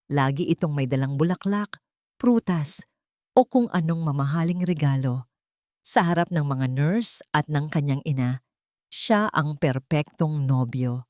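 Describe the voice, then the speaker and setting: A woman's voice: sits low, darkish tone, no room sound, studio quality. A woman with a Filipino accent reading a dramatic romance story as scripted narration.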